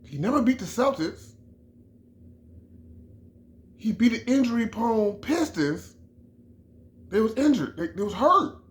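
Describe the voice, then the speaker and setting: A man's voice: high-pitched, very animated, measured pace, long pauses. A middle-aged man from Chicago giving an emphatic, repetitive sports opinion in a casual vlog, often restarting his phrases. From Chicago, USA. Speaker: vlogger.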